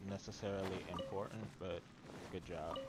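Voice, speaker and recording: Low voice dude chatting to himself on a game stream, slangy, noisy audio. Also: male voice, fairly even intonation, moderate pace. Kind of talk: casual live gameplay commentary. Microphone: streamer's home setup with headphones.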